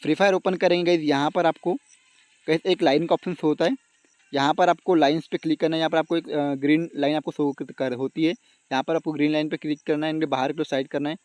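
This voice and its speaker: Fast-talking man giving a phone tutorial. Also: on the high side, steady tone, a little mellow, no echo. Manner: repetitive, addresses viewers constantly with stock phrases. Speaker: YouTube tech tutorial host. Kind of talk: informal step-by-step phone tutorial voice-over.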